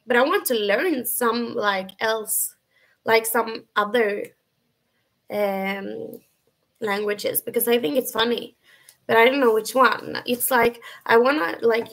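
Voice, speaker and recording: Young swedish woman, swedish accent, high girlish voice, unhurried chatty livestream talk, webcam in a bedroom. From Sweden. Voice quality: high feminine voice. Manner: chatty, many fillers and restarts, giggly. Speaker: livestream host. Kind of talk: casual live chat answering viewers. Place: bedroom at home, indoors.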